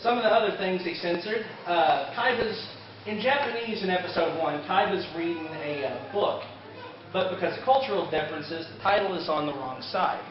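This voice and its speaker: A man giving an informal fan panel lecture at a convention, a bit halting and given to joking asides. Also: high-pitched. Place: indoor convention panel room. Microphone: distant camera mic. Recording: echoey convention room, distant muffled camera mic, crowd. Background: audience crowd noise.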